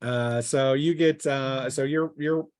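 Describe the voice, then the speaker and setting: A man's voice: medium pitch, fairly even intonation, slow, a little mellow, dry recording. A man acting as game master, casually narrating a tabletop role-playing session and calling out turns.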